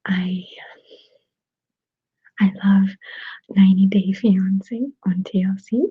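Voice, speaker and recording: Woman, Russian accent, very husky whisper, slow and giggly, dull dry close-mic sound. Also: medium pitch, fairly even intonation, dry recording. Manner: soft whispering, giggly, answers viewer comments. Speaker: ASMR channel host. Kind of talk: whispered ASMR live chat. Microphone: desktop USB microphone.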